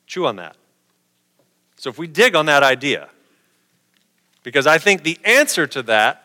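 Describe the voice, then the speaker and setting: Man, middle-aged, conversational preacher, bright forceful voice, long hall reverb. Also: on the high side, clear, resonant tone. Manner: conversational, posing rhetorical questions. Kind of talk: sermon.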